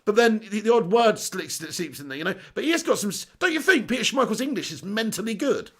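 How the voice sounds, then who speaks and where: A man hosting a live stream, reading out viewer comments excitably, laughing often and swearing casually. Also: high voice, fast, husky. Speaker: host.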